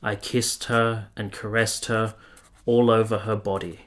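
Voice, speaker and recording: An actor playing a lovestruck, conflicted nineteenth-century man in a radio drama, speaking in earnest, measured, literary phrases. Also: on the low side, level tone, a bit bright. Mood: solemn, confessional. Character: Giorgio, lovestruck, conflicted 19th-century Italian man, confessional narrator. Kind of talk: radio drama narration and intimate dialogue.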